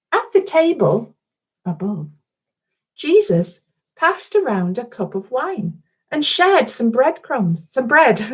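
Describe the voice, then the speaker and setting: A woman with a fairly high voice reads a picture book to small children. She goes slowly and expressively, often breaking off to chat straight to her listeners. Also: husky. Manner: chatty, expressive, addresses young listeners directly. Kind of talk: animated children's story reading with singalong.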